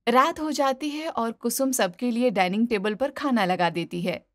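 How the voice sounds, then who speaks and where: A woman narrator with a slightly high voice. She tells a scripted moral story, recorded close and dry. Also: normal speed, a bit bright, dry recording.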